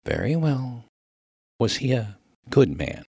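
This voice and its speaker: A man's voice: medium pitch, very animated, takes it slowly, dark tone, breathy edge, no room sound, clean studio sound, pitched above the performer's own voice, exaggerated voice. A man voicing a refined, mild and earnest clergyman, formal and wordy, in a humorous story. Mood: baffled. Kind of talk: dramatic reading of a humorous story.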